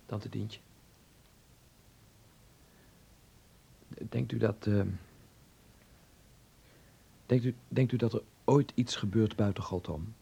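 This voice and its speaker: Husky, earnest teenage son. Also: male voice, fairly low pitch, a little slow, mellow, exaggerated voice. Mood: hesitant. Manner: hesitant stammering, then insistent. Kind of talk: Dutch radio play acting.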